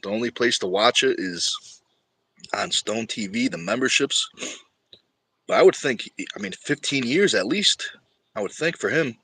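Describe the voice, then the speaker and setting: A man's voice: medium pitch, expressive, moderate pace, long pauses, pushed and bright, slightly rough. An easygoing man hosting a casual livestream and chatting with viewers about his sport. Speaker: livestream host. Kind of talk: casual livestream chat about arm wrestling.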